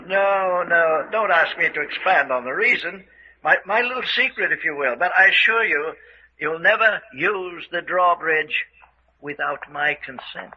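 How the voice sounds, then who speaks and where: A man's voice: fairly high pitch, animated, measured pace, slight background noise. A man acting an older, genteel villain who rules a castle, smug and coolly menacing, taunting with dry chuckles in an old-time radio mystery style. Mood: smugly secretive. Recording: dull, lo-fi radio drama recording.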